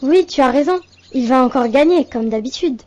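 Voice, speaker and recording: Very high-pitched eager little schoolboy. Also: female voice, lively intonation, normal speed, a little mellow, slight background noise. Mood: grumbling. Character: eager young schoolboy, follower of his friend. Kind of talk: children's educational cartoon dub.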